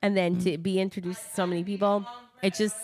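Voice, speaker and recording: A woman's voice: mid-range voice, flat delivery, darkish tone, faint music behind. A chatty woman hosting a casual interview podcast. She gushes freely and laughs easily. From United States. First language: Italian. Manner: chatty, gushing, quick to laugh. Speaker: podcast host.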